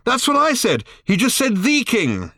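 A man voicing a flustered, anxious ordinary man who shouts his confused questions in a strained, exasperated way. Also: sits high, big pitch swings, moderate pace, no echo, studio quality, pitched above the performer's own voice, exaggerated voice. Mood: bewildered shouting.

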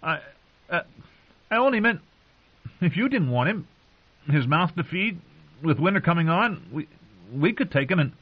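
A man voicing a timid, awkward young man who stammers and squeaks. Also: normal speed, natural voice. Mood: flustered. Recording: muffled lo-fi recording.

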